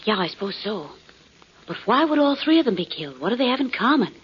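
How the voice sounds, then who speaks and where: An actress playing a lively, inquisitive young female sidekick with a pert, eager manner in a 1940s radio drama. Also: medium pitch, quick pace, breathy edge. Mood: curious. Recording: dull, noisy 1940s radio recording.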